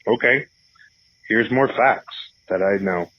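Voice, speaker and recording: A man's voice: lowish voice, slow pace, no echo. A man playing a cocky, crude, argumentative atheist caller on a comedy radio show, sneering and dismissive. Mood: smug arguing. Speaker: phone-in caller. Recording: slightly dull phone-in sound.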